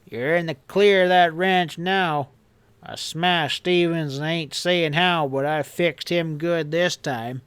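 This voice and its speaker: A man's voice: sits high, moderate pace, dry recording, pitched above the performer's own voice. A man doing a whining cowardly outlaw. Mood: boastful. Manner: excited, whining. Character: scheming cowardly male outlaw, whining when scared.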